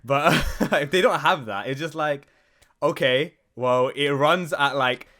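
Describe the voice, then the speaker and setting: A man's voice: fairly high pitch, measured pace, sharp tone. A man co-hosting a gaming podcast, bantering casually in a slangy, jokey, sweary way. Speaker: podcast host.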